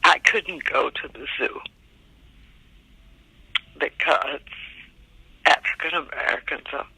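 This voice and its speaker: A woman in her eighties from Montgomery, Alabama, recalling her childhood slowly and reflectively for a family oral history interview, with soft pauses. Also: a bass voice, steady tone, creaky, breathy edge, no room sound, rough recording. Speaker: interviewee, great-aunt.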